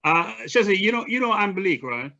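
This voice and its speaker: A man's voice: high-pitched, very animated, fast, bright, forceful tone. A man with a Jamaican accent hosting a casual chat, rambling and repeating himself in a friendly way. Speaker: show host. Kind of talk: casual chat on a video call. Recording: dull lo-fi call audio.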